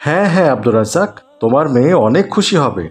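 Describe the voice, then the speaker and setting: A man voicing a kindly, generous gentleman in a cartoon. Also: on the high side, sing-song, fast. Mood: kind, reassuring. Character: kindly well-off gentleman, generous patron of the poor. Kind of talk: cartoon voice acting.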